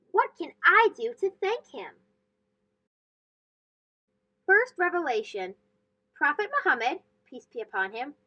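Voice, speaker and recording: A high-voiced woman narrates a storybook for young children. Her delivery is expressive and animated, with wide pitch movement, and the recording is slightly dull and lo-fi. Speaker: storybook reader, host.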